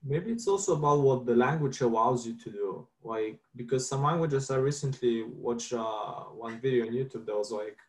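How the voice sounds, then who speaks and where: A man's voice: medium pitch, moderate pace, few pauses, rough recording. A man from Bulgaria, Bulgarian his first language, speaking as an interview guest in a thoughtful, hesitant way with stammered restarts. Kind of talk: casual video-call interview.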